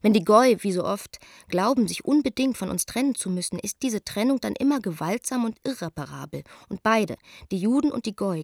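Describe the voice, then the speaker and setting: A woman's voice: medium pitch, no echo. Eva, a bitter, reflective woman letter-writer, close and dry. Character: Eva, young woman writing an anguished letter to a friend. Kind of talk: radio drama letter reading.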